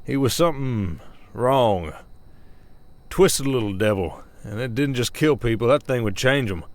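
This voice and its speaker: A man's voice: mid-range voice, animated, a little slow. A man playing a folksy, hesitant werewolf sheriff with a Southern Old West drawl in an ASMR roleplay. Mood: grave storytelling. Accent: American Southern, Old West drawl. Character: folksy werewolf sheriff of an Old West town, long-lived but young-faced. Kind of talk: roleplay audio acting, ASMR. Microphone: close studio mic, dry.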